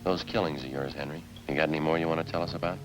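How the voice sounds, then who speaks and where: An actor in an old radio police drama playing a terse, businesslike sergeant, questioning in a clipped, dry, unemotional way. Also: male voice, rumbling low, fairly even intonation, racing, rough recording. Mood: probing, even. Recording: old radio recording with music behind.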